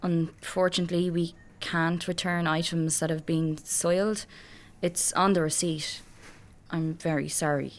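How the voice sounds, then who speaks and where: A woman's voice: fairly low pitch, fairly even intonation, a little slow. An Irish woman playing an anxious, downbeat young shop worker, guarded and apologetic, in a radio drama. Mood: polite, hesitant. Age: young adult. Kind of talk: naturalistic radio drama acting.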